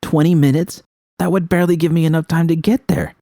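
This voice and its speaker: A man voicing a desperate, frightened young woman in an audiobook, with halting, pleading delivery. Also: on the high side, fairly even intonation, vocal fry, dark tone, no room sound, clean studio sound, pitched above the performer's own voice. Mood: panicked. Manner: halting, stammering pleas. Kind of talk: dramatic audiobook character reading.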